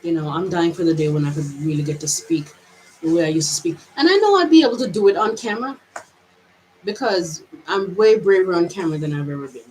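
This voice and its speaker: A woman's voice: lowish voice, expressive, fast talker, darkish tone, rough recording. Hesitant woman, Jamaican-American accent. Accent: mixed Jamaican and American. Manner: hesitant, searching, many restarts. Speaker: vlogger. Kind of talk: reflective personal monologue.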